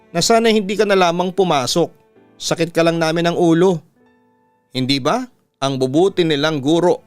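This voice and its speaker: A man with a Filipino accent reads a personal story in a radio announcer's dramatic style. His voice is smooth and resonant, pitched a little high for a man. Soft music plays underneath. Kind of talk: dramatic first-person letter narration. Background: music bed.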